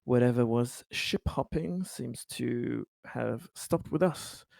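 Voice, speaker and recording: A man voicing a pompous, sarcastic leader of a space expedition in a tabletop game, with grandiose, halting delivery. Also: mid-range voice, gravelly, a little mellow, no room sound. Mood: grand praise.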